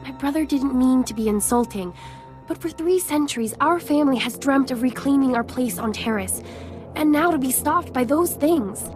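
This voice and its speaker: An actress playing an earnest, conciliatory settler in a video game, polite and pleading. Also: female voice, high-pitched, normal speed, over music, close to an everyday voice.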